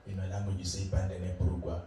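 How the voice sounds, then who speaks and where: A young adult man from Zimbabwe, a musician chatting casually as an interview guest, relaxed and hesitant with many fillers. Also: sits low, rapid-fire. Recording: roomy, distant, noisy room.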